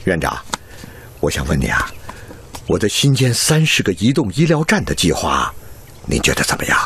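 An actor playing an older doctor and professor, ardent and determined, in theatrical Chinese radio drama style. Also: male voice, deep voice, wildly swooping pitch, normal speed, a bit bright, a little hiss or hum, exaggerated voice. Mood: eager. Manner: theatrical, fervent.

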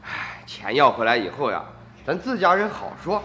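A man's voice: mid-range voice, big pitch swings, moderate pace, sharp tone. An actor playing a pushy middle-aged village man in a radio drama, wheedling and insistent, repeating himself as he presses. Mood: coaxing, scheming. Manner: insistent, repetitive. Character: wheedling, pushy village father feigning greed. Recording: reverberant studio drama with music.

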